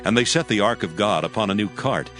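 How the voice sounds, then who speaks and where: A man narrating an audio Bible as scripture storyteller, in a measured, solemn manner. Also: fairly low pitch, lively intonation, a bit bright, faint music behind.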